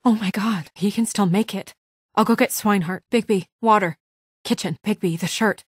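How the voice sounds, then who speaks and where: A woman playing a composed but anxious, dutiful young office administrator in a naturalistic video game drama, speaking in a measured, guarded, earnest way. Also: mid-range voice, breathy edge, no echo, studio quality, close to an everyday voice. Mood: urgent, pleading.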